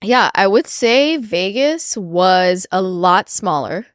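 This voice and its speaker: A woman's voice: mid-range voice, expressive, slow pace, clean tone, no echo, clean studio sound. A woman co-hosting a podcast, sniffly from a cold, chatting casually with lots of likes and restarts. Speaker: podcast co-host. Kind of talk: casual chat.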